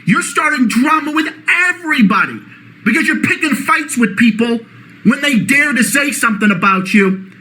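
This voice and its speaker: A man with a Boston accent delivering a profane, mocking reaction rant, often shouting. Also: very high voice, animated, normal speed, low-quality recording. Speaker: YouTube ranter.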